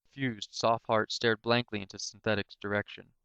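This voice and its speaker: A man's voice: fairly low pitch, little pitch movement, moderate pace, creaky, a bit bright, smooth, no echo, highs cut off. A man reading a scripted story aloud as an amateur narrator.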